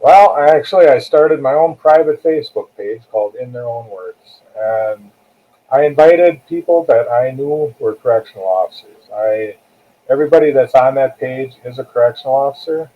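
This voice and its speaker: A man's voice: medium pitch, normal speed. An older American man answering as an interview guest in a plainspoken, conversational way, repeating phrases for emphasis. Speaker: guest author, retired corrections captain. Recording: tinny, phone-like narrow-band call audio.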